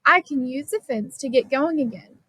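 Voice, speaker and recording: An upbeat storybook girl, played by a woman reader, fairly high in pitch. She sounds cheerful and sure of herself, in a dry recording. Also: moderate pace, a bit bright, breathy edge, no echo, poor audio. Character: Kai, upbeat girl learning to skate.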